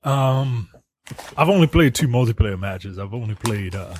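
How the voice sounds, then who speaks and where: A man co-hosting a casual podcast, relaxed, reading aloud with small stumbles. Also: medium pitch, moderate pace, slight background noise. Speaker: podcast co-host.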